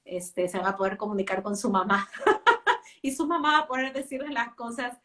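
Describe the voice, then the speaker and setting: A woman's voice: fairly high pitch, moderate pace, fairly bright tone. Woman, native spanish speaker, homeschooling mother, casual interview talk, hesitant, distant mic. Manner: hesitant, many fillers and restarts.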